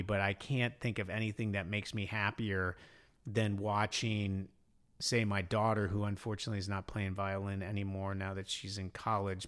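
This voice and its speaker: A man's voice: lowish voice, a bit bright. A middle-aged man co-hosting a podcast in a casual, rambling, conversational way. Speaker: podcast co-host. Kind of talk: casual chat.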